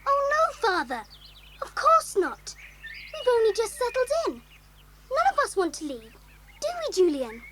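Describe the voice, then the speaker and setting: A woman's voice: very high voice, huge pitch swings, unhurried, pauses often, heavy background noise, full character voice. A woman playing a headstrong, enthusiastic young girl in a children's audio drama, speaking emphatically. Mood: keen.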